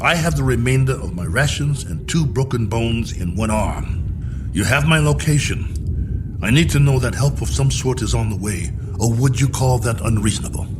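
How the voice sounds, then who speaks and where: Casting: male actor as a stranded spaceship colonel in sci-fi drama, weary and wry, measured delivery, loud score underneath. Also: medium pitch, lively intonation, over music, natural voice. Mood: resigned, pressing. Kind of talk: dramatic acting in a science-fiction drama.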